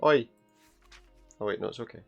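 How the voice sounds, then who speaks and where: Sarcastic husky British gamer. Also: male voice, mid-range voice, moderate pace, a bit bright, highs cut off, faint music behind. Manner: sarcastic, sweary, mocking. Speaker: game commentator. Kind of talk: casual gameplay commentary and reading aloud.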